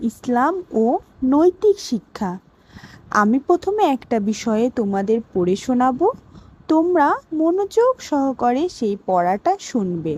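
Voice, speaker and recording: A woman voicing a patient female cartoon teacher who reads a lesson slowly and carefully to her pupils. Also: sits high, full character voice. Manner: slow, careful, lively sing-song teaching. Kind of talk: slow classroom lesson narration.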